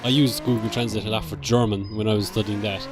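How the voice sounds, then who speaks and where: A man's voice: on the low side, steady tone, fast talker. A young Irish man chatting casually on a gaming stream, reading chat aloud and joking. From Ireland. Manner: laid-back, jokey, reads chat aloud. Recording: noisy stream with car sounds. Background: racing game engine and tire noise.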